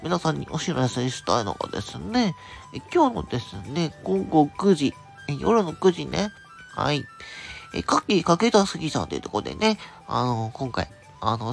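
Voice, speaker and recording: A host delivers a casual announcement straight to the listeners, polite and chatty. The voice sits at a mid pitch with a creaky edge and swings in animated intonation. Faint music plays underneath. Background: background music.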